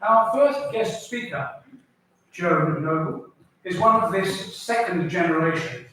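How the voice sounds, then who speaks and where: A man's voice: high-pitched, animated, takes it slowly, bright, forceful tone. A man hosting a memorial ceremony, introducing speakers formally with a few ums. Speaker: event host. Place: arts centre hall. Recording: echoey hall, distant mic.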